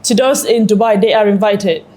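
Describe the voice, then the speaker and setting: A woman's voice: medium pitch, moderate pace, darkish tone, a little hiss or hum. A woman, hosting a conversational interview. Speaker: interview host.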